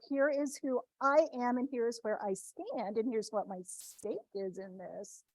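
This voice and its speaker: Woman from the Midwest, fairly high voice, talks to students in a chatty, restart-heavy way; dry, close recording. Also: darkish tone, no echo, poor audio. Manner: conversational, many restarts and tag questions. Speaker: speaker addressing students. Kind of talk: informal talk to students.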